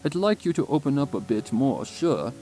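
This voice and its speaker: Man voicing a calm, probing stallion therapist. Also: normal speed, soft background music. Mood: apologetic, patient. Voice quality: pleasant, moderately deep voice. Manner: direct, searching, patient questioning.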